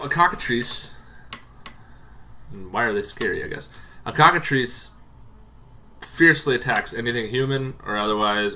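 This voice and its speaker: Bright-voiced man explaining game monster lore. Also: fairly low pitch, a little hiss or hum. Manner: conversational, rambling asides. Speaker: tabletop game lore presenter. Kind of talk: informal explainer monologue.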